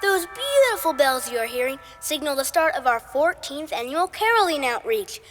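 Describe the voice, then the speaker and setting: A woman's voice: high voice, sing-song, moderate pace, fairly bright tone. A woman playing a cheery church caroling organiser, giving a scripted welcome speech in a puppet show. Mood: cheery, welcoming. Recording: echoey hall with music behind. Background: music.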